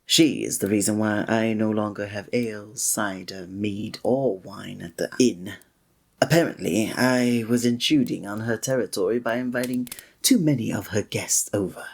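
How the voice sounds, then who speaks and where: A man playing a flirty, breathy innkeeper. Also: lowish voice, normal speed. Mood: amused. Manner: formal old-fashioned wording with chuckling asides. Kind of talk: ASMR roleplay.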